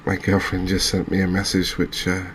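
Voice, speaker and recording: English guy doing soft asmr game commentary, low flat voice, slow and hesitant, bit of background noise. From England. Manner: hushed, unhurried, hesitant. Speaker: gaming video host.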